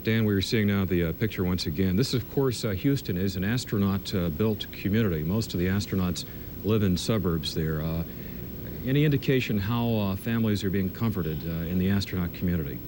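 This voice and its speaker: A male news anchor with an American accent and a fairly low voice delivers scripted television news. The old broadcast recording carries a faint rumbling noise. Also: a little fast.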